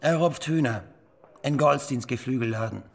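An actor playing a nervous young petty thief in a radio crime drama, evasive under questioning. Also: male voice, mid-range voice, normal speed, vocal fry. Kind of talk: radio play acting.

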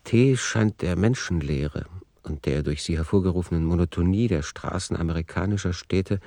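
An actor playing a sober, matter-of-fact narrator of a radio play, reporting in measured, precise like someone reading out a case file. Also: male voice, low voice, creaky, mellow, dry recording. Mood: detached, factual.

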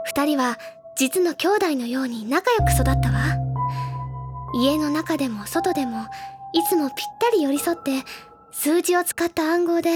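A woman voicing a bubbly, earnest teenage schoolgirl who tells stories in an expressive, chatty audio drama style. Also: high-pitched, lightly acted. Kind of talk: Japanese audio drama acting. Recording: background music under the voice.

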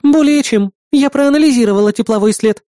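A man voicing an efficient female electronic AI assistant in a brisk, matter-of-fact manner for an audiobook. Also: extremely high pitch, mellow, no echo, studio quality, pitched above the performer's own voice. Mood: assured.

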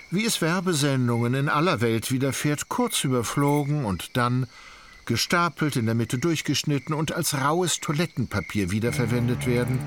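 An actor as a detached, wry literary narrator, reading ornate prose in a measured, ironic way for a radio drama. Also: male voice, mid-range voice, natural voice. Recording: noisy outdoor ambience with crickets.

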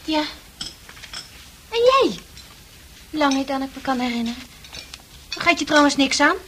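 A woman's voice: high-pitched, big pitch swings, unhurried, fairly bright tone, full character voice. An actress playing a touchy, defiant young woman in a radio play, speaking in a stubborn, childlike and petulant way. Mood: guarded. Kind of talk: Dutch radio play acting. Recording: noisy old radio drama recording.

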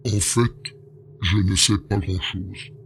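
An actor playing a grave male space agent who gives a slow, measured account in an amateur audio drama. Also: sits low, steady tone, gravelly, fairly bright tone, faint music behind, full character voice.

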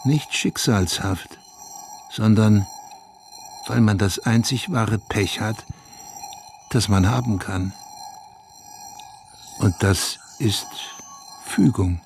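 An actor playing a brooding man who recounts a small-town tragedy in a measured, grave, reflective radio drama monologue. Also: lowish voice, creaky, soft background music, exaggerated voice. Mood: reflective, solemn.

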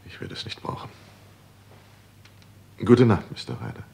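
Very deep, gravelly, grave gentleman. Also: wildly swooping pitch, heavily acted. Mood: restrained, polite. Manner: formal, measured, deliberate. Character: grave, guilt-ridden gentleman confessing, desperate and proud. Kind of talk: vintage German radio crime play acting.

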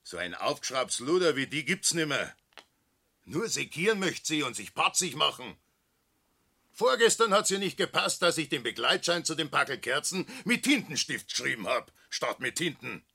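An actor playing an indignant village grocer in a radio play, ranting in Austrian dialect with a rough, raspy voice. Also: male voice, on the high side, sing-song, normal speed, bright, forceful tone, heavily acted. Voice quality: gravelly, raspy. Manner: ranting, emphatic. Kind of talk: German radio play acting, rural dialect.

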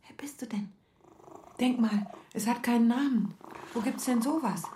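An actress playing a resolute, lively young widow, teasing and affectionate, in radio play dialogue. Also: female voice, a little high, normal speed, slight background noise, lightly acted. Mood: playful, tender.

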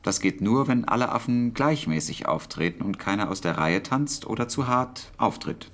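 An actor in a German radio drama playing a wartime codemaster, awkward and exacting, with a hesitant, precise delivery and a wistful streak. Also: male voice, fairly low pitch, expressive, normal speed, soft background music. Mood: tactful, precise.

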